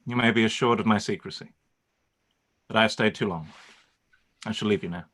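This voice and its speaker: A man acting a reserved, concerned gentleman in a period radio play, speaking formally and earnestly. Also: fairly low pitch, a little fast. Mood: grave, restrained.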